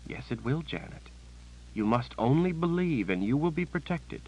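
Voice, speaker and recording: A man voicing an ancient, resolute sorceress sister who speaks urgently and often hushed. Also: medium pitch, very animated, normal speed, slightly rough, muffled recording, voice pushed up. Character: resolute sorceress sister, 156 years old.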